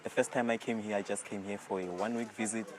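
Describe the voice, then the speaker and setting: A man's voice: on the low side, steady tone, fast talker, a little hiss or hum, low-quality recording. A man in his early twenties with a South African accent, giving a personal testimony as a volunteer in an interview style. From rural South Africa. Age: early 20s. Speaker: youth retreat volunteer.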